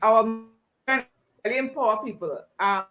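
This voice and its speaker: Female live-chat host with a Trinidadian accent. Crisp, bright tone, effusive casual delivery. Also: on the high side, normal speed, highs cut off, faint music behind.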